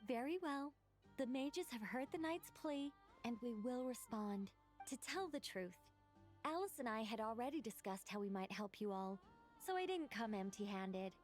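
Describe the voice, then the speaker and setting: An actress voicing an ancient angelic mage in a video game, formal and ceremonious with a sly, playful streak. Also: female voice, fairly high pitch, expressive, normal speed, faint music behind, lightly acted. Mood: poised, knowing. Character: ancient angelic female mage, poised, grand, slyly playful. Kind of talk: scripted video game dialogue acting.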